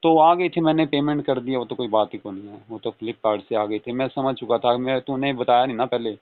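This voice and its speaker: A man's voice: mid-range voice, fairly quick, natural voice. A man playing an indulgent middle-aged father, talking casually and coaxingly in a staged phone conversation. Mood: casual, explaining. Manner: coaxing, reassuring, repetitive. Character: soothing, indulgent father of a married daughter. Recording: tinny, narrow phone-call audio.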